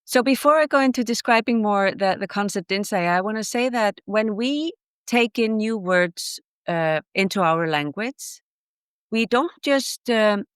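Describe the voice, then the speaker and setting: A woman's voice: medium pitch, clean tone, no echo, studio quality. A woman from Iceland whose first language is Icelandic, a guest author explaining ideas in a reflective, thoughtful way during a podcast interview.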